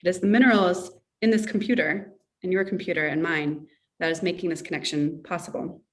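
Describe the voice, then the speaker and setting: A fairly low-voiced woman talking in long, effusive, rambling turns, often doubling back to restart a thought. She is a guest on a video call. She sits a little away from her microphone, so her voice sounds distant. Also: fairly even intonation, fairly quick. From Russia. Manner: effusive, rambling, circles back mid-sentence. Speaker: author, interview guest. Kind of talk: casual interview chat.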